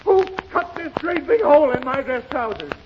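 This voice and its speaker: A man's voice: very high-pitched, lively intonation, normal speed. A man playing an exasperated, blustering father who scolds impatiently in a 1930s radio comedy. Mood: outraged, sarcastic. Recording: noisy, dull 1930s radio broadcast recording.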